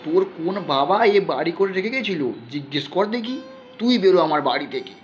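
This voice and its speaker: A man's voice: high-pitched, animated, normal speed, dull audio, soft background music, voice pushed up. A man voicing a long-suffering young village wife and mother in an audio story, answering back with aggrieved defiance. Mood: defiant, bitter.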